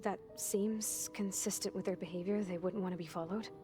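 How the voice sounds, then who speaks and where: An actress as a halting, fragile young clone woman. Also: mid-range voice, fairly even intonation, close to an everyday voice. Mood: uneasy. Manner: halting, clinical, technical wording. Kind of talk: video game dramatic acting. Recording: music behind the voice. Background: game score.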